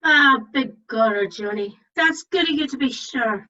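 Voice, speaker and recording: Woman doing a chirpy irish widow, really high and sharp, dull call audio, radio comedy. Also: lively intonation, measured pace, full character voice. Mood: cheerful. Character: cheerful, chatty Irish widow, seasoned cruise passenger. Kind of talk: amateur radio comedy acting. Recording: dull online meeting audio.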